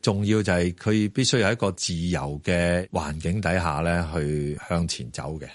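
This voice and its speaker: A man with a very deep voice explains in an unhurried way, with hesitant fillers between his points. The recording is dry and close, with no room sound. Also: no echo. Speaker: interviewee. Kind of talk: interview explanation.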